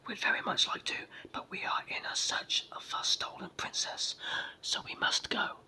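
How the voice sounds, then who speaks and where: A storyteller voicing a cheerful, polite little male brownie from a children's book in a raspy whisper. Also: moderate pace, sharp tone, rough recording.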